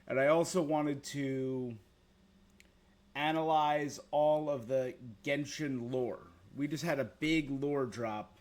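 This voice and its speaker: A man's voice: fairly high pitch, steady tone, takes it slowly, a little mellow. A man hosting a gaming livestream, chatting casually and informally with his viewers in a laid-back way. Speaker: game streamer, host.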